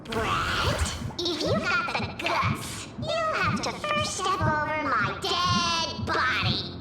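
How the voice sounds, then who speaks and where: A woman's voice: very high-pitched, huge pitch swings, takes it slowly, exaggerated voice. A woman voicing an impish, childlike trickster spirit in a video game, theatrical, taunting and defiant. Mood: fierce. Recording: echoing, distant voice under loud music.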